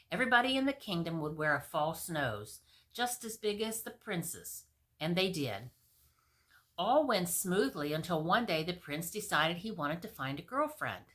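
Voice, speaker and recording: Bright, animated woman reading a story. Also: lowish voice. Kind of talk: expressive storybook reading with comic asides.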